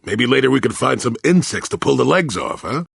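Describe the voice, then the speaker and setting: A man playing a crotchety, wry old hermit Jedi in a video game, grumbling in a folksy, sardonic way with a gravelly, husky voice. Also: medium pitch, sing-song, fairly quick, dry recording, studio quality, full character voice. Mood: sly, amused. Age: older. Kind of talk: video game character acting.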